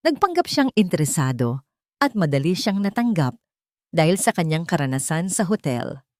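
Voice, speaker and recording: A woman's voice: fairly low pitch, a little mellow, no room sound, studio quality. A woman reading a scripted romantic suspense story as a dramatic narration.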